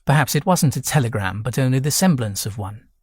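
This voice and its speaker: Man playing an imperious young gentleman, formal. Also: medium pitch, expressive, a little fast, slightly rough, no room sound, studio quality. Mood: calm, formal. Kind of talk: audiobook character voice.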